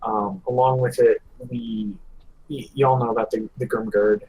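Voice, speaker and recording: A man talking casually as a podcast co-host, in a halting, hesitant delivery. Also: mid-range voice, creaky, mellow, slightly rough, poor audio. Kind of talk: casual hobby podcast chat.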